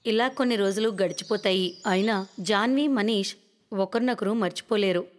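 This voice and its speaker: A woman's voice: medium pitch, moderate pace. Woman narrating a story in long reverb. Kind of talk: scripted narration of a cartoon moral story.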